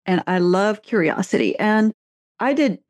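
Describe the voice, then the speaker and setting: A woman's voice: medium pitch, expressive, a little slow, darkish tone, no room sound. A middle-aged woman, a therapist and author, chatting casually and telling stories with easy laughs. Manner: chatty storyteller, laughs easily. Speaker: podcast host, therapist and author. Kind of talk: casual conversation.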